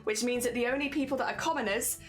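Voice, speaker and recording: A woman's voice: on the high side, fairly even intonation, fast talker, faint music behind. A woman streamer with a mixed British accent that blends southern English, Midlands and Welsh. She chats casually with her viewers, rambles from point to point and laughs often.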